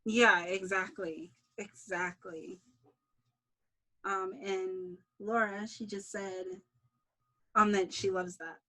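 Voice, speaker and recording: A woman's voice: mid-range voice, steady tone, takes it slowly, long pauses, sharp tone, poor audio. Casual young woman thinking aloud. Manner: informal, thinking aloud in loose fragments. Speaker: interviewee, writer and show creator. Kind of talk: casual unscripted interview answers.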